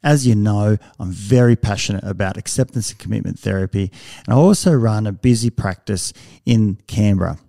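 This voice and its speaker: Male host, low and gravelly, halting, chatty delivery. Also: dark tone, no room sound, studio quality. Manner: hesitant, many restarts and fillers. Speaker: podcast host. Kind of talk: casual interview.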